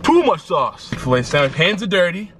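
A man's voice: high-pitched, very animated, fairly quick. A man in his twenties vlogging from his car on a dashboard camera, joking and swearing in casual banter. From Chicago area. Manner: joking, profane, mock-advertising banter. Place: parked car, indoors. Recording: clear road and engine noise. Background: car and street noise.

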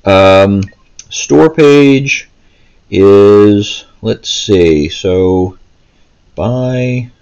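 Man, low voice, casual game walkthrough, unscripted, hesitant, trailing thoughts. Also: drawn-out words, a little mellow, smooth, lo-fi sound. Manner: hesitant, rambling, often stops mid-thought. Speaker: gaming video host. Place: indoors, seated, handheld console in hands.

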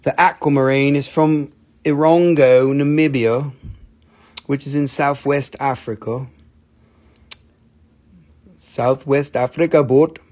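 A man's voice: mid-range voice, fairly even intonation, slow pace, long pauses, darkish tone. A man with a Southern US accent hosting a live mineral sales show, rambling and joking as he holds stones up to the camera. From southern United States. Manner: rambling, joking, cursing, playful bits of put-on accents. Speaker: live-stream mineral seller. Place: indoor room with a table of mineral specimens.

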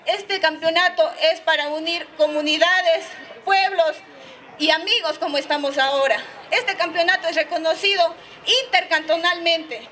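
High, bright young woman speechmaking. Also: fairly even intonation, normal speed. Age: young adult. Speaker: championship president. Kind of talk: public speech. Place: outdoor stage by a sports field. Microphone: microphone on a stand. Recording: outdoor PA with crowd noise.

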